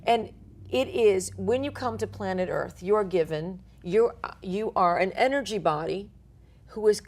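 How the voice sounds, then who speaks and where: A middle-aged woman with an American accent, explaining self-help ideas as an interview guest in an animated, informal, emphatic way with playful asides. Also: medium pitch, a little slow. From born in Portugal, grew up in the United States. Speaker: interview guest, author and life coach.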